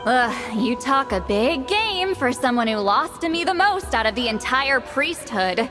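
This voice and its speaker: Brash, high, huffy young shaman. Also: big pitch swings, unhurried, over music. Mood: brash, dismissive. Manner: boastful, huffy. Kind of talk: anime-style video game voice acting.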